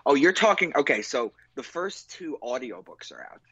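A male author talking casually as a radio guest, relaxed and conversational. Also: medium pitch, normal speed, breathy edge, dry recording, dull audio.

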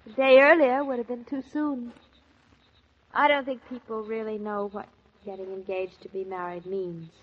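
An actress in a 1940s radio drama playing a playful, tender young newlywed wife, with a bright, teasing and dreamy way of talking on an old transcription. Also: female voice, fairly high pitch, steady tone, moderate pace, muffled recording, close to an everyday voice. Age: young adult.

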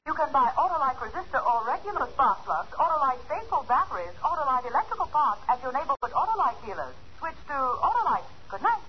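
An American woman announces a 1940s radio commercial. She speaks fast in a high, very animated voice. The recording is dull and noisy. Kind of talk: 1940s radio sponsor tag.

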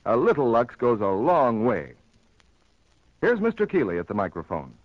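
A man's voice: medium pitch, huge pitch swings, normal speed, dry recording, highs cut off. A man announcing a scripted commercial on a 1940s radio broadcast. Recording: old radio broadcast with music, audience.

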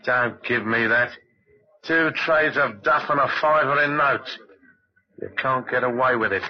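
An actor playing a menacing, contemptuous razor gangster in an old-time radio drama. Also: male voice, mid-range voice, a little slow, lightly acted. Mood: threatening. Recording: dull old radio recording.

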